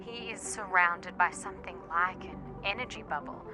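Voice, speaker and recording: Woman acting businesslike, lower-voiced female agent. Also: normal speed, music in the background, close to an everyday voice. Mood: sober, businesslike. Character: businesslike female subordinate soberly reporting to boss. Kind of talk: audio drama acting.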